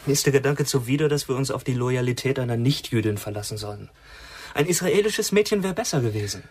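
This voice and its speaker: A man's voice: mid-range voice, quick pace, darkish tone, slight background noise, natural voice. A man acting a sceptical, hard-line intelligence agent in a German radio play, curt and disapproving. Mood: distrustful.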